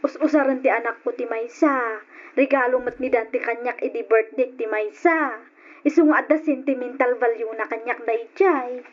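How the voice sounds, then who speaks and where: A woman's voice: on the high side, normal speed, lo-fi sound, close to an everyday voice. A woman playing a meek, hard-up mother in an old radio drama, pleading and apologetic, with an Ilocano accent. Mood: pleading, anxious.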